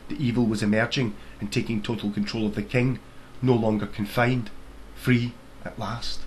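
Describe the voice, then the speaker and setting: A man's voice: on the low side, level tone, moderate pace, poor audio. A man reading his own fairy tale aloud as scripted storybook narration. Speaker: author reading own book. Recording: faint background noise.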